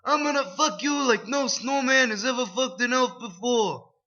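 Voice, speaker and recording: A man's voice: very high-pitched, moderate pace, bright, forceful tone, voice pushed up. A man voicing a domineering, cold and cruel male snowman who barks commands with a sneer. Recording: dull lo-fi recording.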